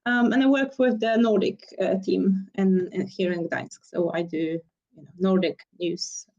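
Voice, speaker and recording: A woman with a Polish accent introducing herself hesitantly on a video call, with many pauses. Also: fairly low pitch, fairly even intonation, darkish tone, lo-fi sound. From Poland. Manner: hesitant, many fillers and restarts. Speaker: class participant.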